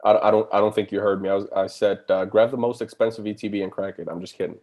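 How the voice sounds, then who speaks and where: A man's voice: lowish voice, steady tone, quick pace, darkish tone, muffled recording. A man co-hosting a live stream, chatting casually, reading viewer questions and often stammering and restarting sentences.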